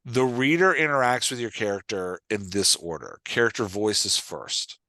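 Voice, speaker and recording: A man's voice: lowish voice, lively intonation, normal speed, pushed and bright, no room sound. A man hosting and coaching in a conversational, explanatory way, often checking that the listener follows. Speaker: podcast host and writing coach.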